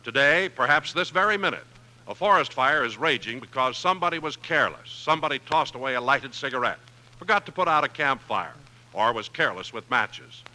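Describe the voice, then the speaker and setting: Very bright, forceful American radio announcer. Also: male voice, mid-range voice, lively intonation, brisk, rough recording. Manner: emphatic, formal delivery. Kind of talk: 1950s scripted radio announcing and ad reading. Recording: old radio broadcast, faint audience noise.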